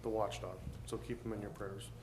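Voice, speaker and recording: A man's voice: lowish voice, little pitch movement, brisk, darkish tone. A man serving as mayor, giving plain-spoken, earnest remarks at a city council meeting. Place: council chamber. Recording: roomy chamber with faint noise.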